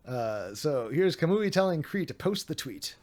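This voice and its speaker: A man's voice: a little high, a little mellow. A man streaming, reading a document aloud with sarcastic, mocking asides, his voice getting a little worn.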